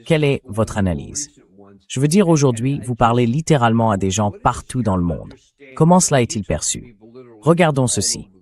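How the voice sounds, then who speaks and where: A man's voice: on the low side, darkish tone. A man hosting a political interview, speaking in a dubbed news commentary style. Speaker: interview host.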